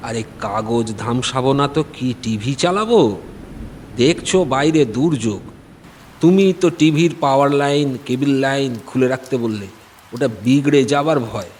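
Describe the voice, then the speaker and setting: A man's voice: medium pitch, animated, normal speed, a little mellow, a little hiss or hum, lightly acted. A man playing a wry, tight-fisted Bengali husband and father-in-law in an audio drama, with droll colloquial delivery. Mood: grumbling.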